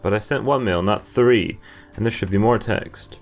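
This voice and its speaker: Low-voiced young man over game music. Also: normal speed, gravelly. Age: young adult. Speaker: video game player and commentator. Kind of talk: playthrough commentary, reading game text aloud.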